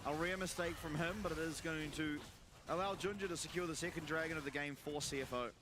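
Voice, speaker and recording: A man giving brisk, steady play-by-play commentary on an esports match. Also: on the high side, noisy background. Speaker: play-by-play caster.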